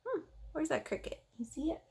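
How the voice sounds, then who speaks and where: A woman reading a picture book aloud to children, expressive, with questions and asides to the listener. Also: high-pitched, a little fast, vocal fry. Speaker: storyteller for children.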